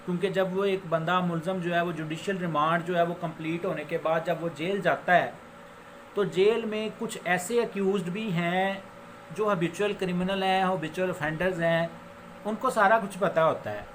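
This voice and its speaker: A Pakistani man with a fairly high voice teaches law in an informal, step-by-step way. He leans on repeated filler phrases and slips in English legal terms. There is some background noise in the recording. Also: fairly even intonation, normal speed, rough recording. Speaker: law lecturer, advocate. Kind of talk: informal explanatory law lecture.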